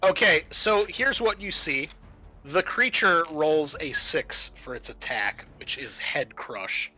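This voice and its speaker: Male game master for horror tabletop play: unhurried, bright, halting descriptive narration. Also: on the high side, expressive, faint music behind.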